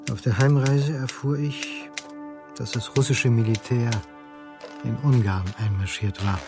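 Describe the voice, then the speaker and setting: A sombre man played by an actor narrates in the first person with clear music underneath. His mid-pitched voice is reflective and sorrowful, in radio drama style. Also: fairly even intonation, measured pace, lightly acted. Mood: sombre recollection. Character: sorrowful Icelandic man recalling his lost lover.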